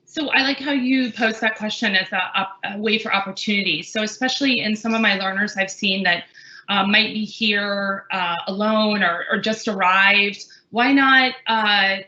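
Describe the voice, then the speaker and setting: A woman's voice: medium pitch, normal speed, bright, forceful tone, echoey room, dull audio. A woman who works as a language trainer, speaking earnestly in a webinar panel discussion, with many fillers and restarts.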